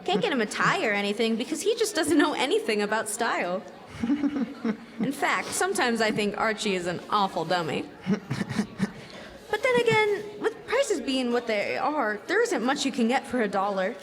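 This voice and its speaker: Breezy, haughty teen girl, female actor, highish, lively swings, stage radio comedy, hall reverb. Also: moderate pace. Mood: airy, dismissive. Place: school theatre stage.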